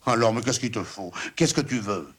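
A man doing a fretful old farmer, raised and husky. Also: on the low side, normal speed, close to an everyday voice. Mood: pleading, scolding. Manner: raised, exclaiming.

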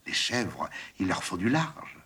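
A man voicing a wistful, stubborn young female goat in a soft, half-whispered, husky voice. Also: deep voice, big pitch swings, unhurried, heavily acted. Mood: longing.

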